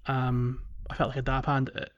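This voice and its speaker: A man's voice: medium pitch, completely flat delivery, fairly quick, dull audio. A man hosting a podcast, talking casually about games in a wordy, hedging, explanatory way. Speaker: podcast host. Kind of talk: casual gaming discussion.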